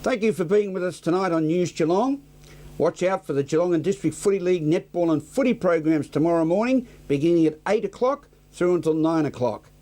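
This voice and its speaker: A man's voice: high voice, expressive, brisk, dark tone. An Australian man presenting scripted community news as the anchor.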